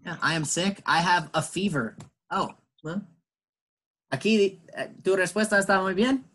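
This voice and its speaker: A man's voice: high voice, normal speed, fairly bright tone. A man teaching an online English class, patiently explaining in English and Spanish.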